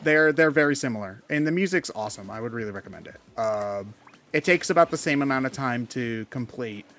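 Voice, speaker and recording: A man's voice: mid-range voice, expressive, a little fast, a bit bright. Man chatting casually in game commentary. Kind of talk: casual gameplay commentary chat.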